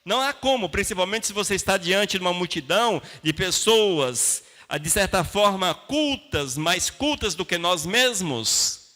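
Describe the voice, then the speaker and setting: A man's voice: high-pitched, animated, a little slow, hardly any pauses, pushed and bright. A Brazilian man preaching a sermon to a congregation as a pastor, teaching in plain colloquial words with rhetorical questions and short emphatic phrases. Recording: long hall reverb.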